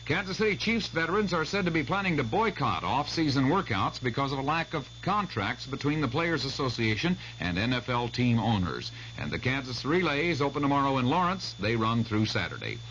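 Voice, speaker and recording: A man's voice: mid-range voice, sing-song, brisk, few pauses, fairly bright tone. An American man reading a scripted radio sports and news bulletin. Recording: dull old radio recording, faint hum.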